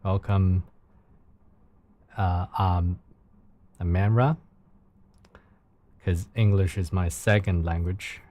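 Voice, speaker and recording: Man from China with a Chinese accent, native Mandarin speaker, soothing voice, slow unhurried speech, fillers and restarts. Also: deep voice, fairly even intonation. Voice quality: soft, soothing. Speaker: live streamer. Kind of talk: casual live stream chat with viewers.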